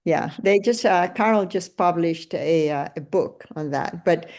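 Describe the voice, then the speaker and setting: Low-pitched older woman podcast host. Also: a little slow, darkish tone. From Venezuela. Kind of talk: casual interview chat.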